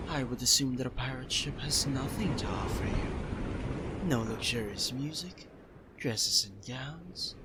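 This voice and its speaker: A man's voice: medium pitch, very noisy, close to an everyday voice. A man playing a suave, self-assured pirate captain in an ASMR roleplay, courteous and playfully smug.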